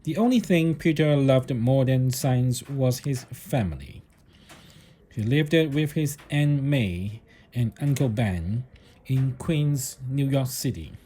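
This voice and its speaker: A man reading a children's story aloud in a deliberate narrating style, with the odd small stumble over the words. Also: medium pitch, lively intonation, mellow, a little hiss or hum. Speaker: storybook reader.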